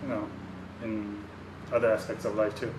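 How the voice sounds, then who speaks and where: A man's voice: on the low side, little pitch movement, normal speed, noisy background. A young man from Bhutan, a student, talking casually and hesitantly with many fillers. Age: young adult. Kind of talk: casual chat. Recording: echoey room, far from the mic.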